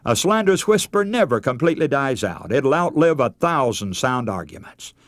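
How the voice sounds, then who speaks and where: A man preaching a radio sermon in an earnest, emphatic way, with dramatic pauses. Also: medium pitch, sing-song, no room sound.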